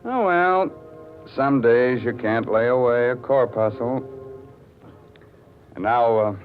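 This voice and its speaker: Man playing a slow, ghoulish radio horror host. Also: mid-range voice, lightly acted. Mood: mock disappointment. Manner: mock-sinister, drawn-out, theatrical. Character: ghoulish, punning male horror host with macabre humour. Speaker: host of a mystery radio show. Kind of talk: 1940s radio horror hosting. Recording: tinny 1940s radio, organ music behind.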